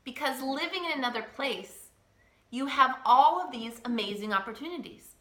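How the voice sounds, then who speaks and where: A woman speaks earnestly as a language coach and presses her main points by repeating them. Her voice sits a little high and sounds bright and forceful. The room around her is echoey. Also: expressive, moderate pace. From United States. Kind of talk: direct-to-camera teaching talk.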